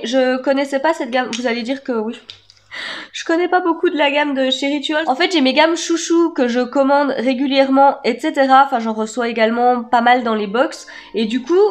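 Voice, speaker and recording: A woman chatting casually as she presents an unboxing, slightly hoarse and stuffed up a cold. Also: sits high. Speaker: beauty vlogger, unboxing host. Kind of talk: casual product unboxing chat.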